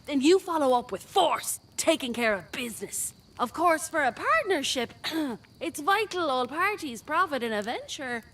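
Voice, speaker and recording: A woman's voice: sits high, big pitch swings, normal speed, a bit bright, slight background noise. A woman playing a cocky, roguish scout out for profit in a video game, talking in a sly, breezy, slangy way. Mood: sly, pleased. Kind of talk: video game character dialogue.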